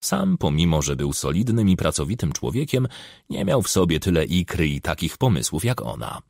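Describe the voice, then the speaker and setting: A man's voice: low-pitched, huge pitch swings, normal speed, creaky, a little mellow, no echo, studio quality. A man reading a crime novel aloud as a scripted audiobook narration.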